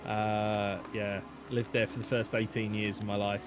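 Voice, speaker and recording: A man's voice: on the low side, flat delivery, a little slow. Rambling chatty male race commentator. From England. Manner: chatty, rambling, many fillers, reads chat aloud. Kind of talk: casual live race commentary.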